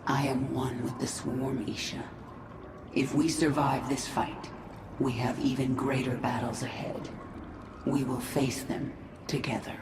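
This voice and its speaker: A woman's voice: rumbling low, level tone, measured pace, background noise. Commanding, echoing swarm queen. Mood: resolute. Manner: commanding, resolute, dramatic. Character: vengeful, commanding female alien swarm queen. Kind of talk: video game cinematic acting. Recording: echoey voice with loud music.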